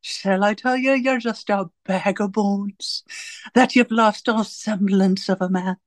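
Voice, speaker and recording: A woman playing a menacing, domineering interrogator of a totalitarian regime in a radio drama, speaking in a deliberate, taunting way. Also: mid-range voice, fairly even intonation, dry recording, natural voice. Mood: cold contempt.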